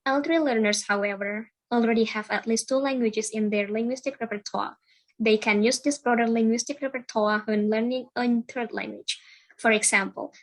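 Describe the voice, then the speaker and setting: Woman giving a formal, slightly high-pitched presentation. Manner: formal, reading from prepared text. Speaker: student presenter. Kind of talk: scripted academic presentation.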